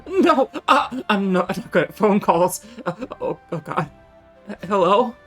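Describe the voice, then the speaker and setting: A stammering, easily flustered young monster guy from a game, played by a woman. He is timid and apologetic, and his words come slowly over faint music. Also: mid-range voice, lively intonation, husky. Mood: nervous stammering.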